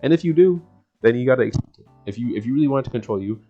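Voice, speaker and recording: A man's voice: mid-range voice, animated, rapid-fire. A young man giving a casual, slangy self-help talk, rambling and circling back over his points. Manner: rambling and repetitive, often chuckling mid-sentence. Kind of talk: casual, slangy motivational chat to the audience. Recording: dull, lo-fi sound with music underneath. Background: background music.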